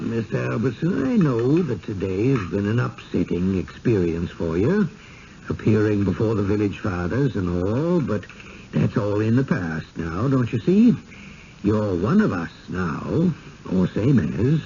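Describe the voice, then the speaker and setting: An actor playing an effusive, insistent village booster with a pompous, flowery manner in a radio drama. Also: male voice, fairly low pitch, sing-song, measured pace, mellow. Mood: patronizing, coaxing. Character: effusive, insistent small-town village booster, genial and smothering. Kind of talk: vintage radio drama acting. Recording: dull, faintly noisy 1970s radio broadcast.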